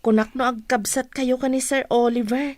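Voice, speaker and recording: A woman's voice: high voice, fairly even intonation, normal speed, darkish tone, dry recording, clean studio sound, lightly acted. A woman playing a poor young woman in a radio drama, polite, sweet and eager to please. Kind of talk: melodramatic radio drama acting.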